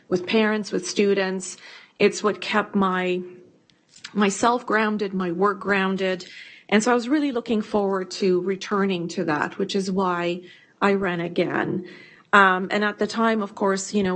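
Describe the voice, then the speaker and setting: A middle-aged woman, a school board trustee, introducing herself at a board meeting in a measured, reflective way with some hesitations. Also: medium pitch, fairly bright tone.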